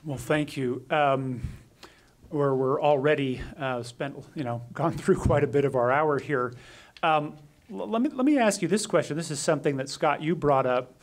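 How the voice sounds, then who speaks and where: A man's voice: fairly high pitch, normal speed. A middle-aged man moderating a university panel from a podium, with many fillers and a procedural manner. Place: university lecture room. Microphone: podium mic.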